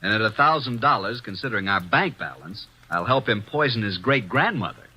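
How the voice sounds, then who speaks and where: An actor playing a brash, wisecracking young assistant detective in a 1950s radio drama, breezy and cheeky. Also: male voice, on the low side, expressive, brisk, loud music behind the voice, natural voice, low-quality recording.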